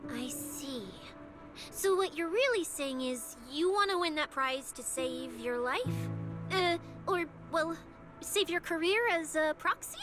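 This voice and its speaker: Bubbly young female police specialist for a game: very high, lively pitch, playful, expressive. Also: a little slow. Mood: worried, earnest. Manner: playful, expressive, mock-official. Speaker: video game voice actor. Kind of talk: animated game cutscene dialogue. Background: background music.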